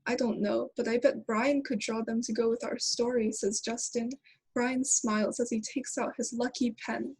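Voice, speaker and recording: A woman's voice: on the high side, fairly even intonation, normal speed, darkish tone, husky, poor audio. A woman reading a children's picture book aloud. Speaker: read-aloud reader.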